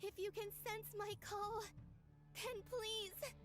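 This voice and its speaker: A gentle young moon maiden from a video game. Her high voice is soft and breathy, and she muses slowly over faint music. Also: steady tone. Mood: urgent, pleading. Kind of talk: video game voice acting, inner monologue.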